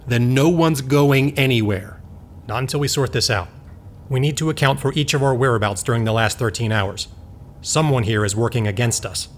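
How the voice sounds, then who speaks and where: An actor playing an earnest, commanding male scientist in an audio drama, speaking in a deliberate, explanatory way. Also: mid-range voice, slight background noise, lightly acted. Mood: stern.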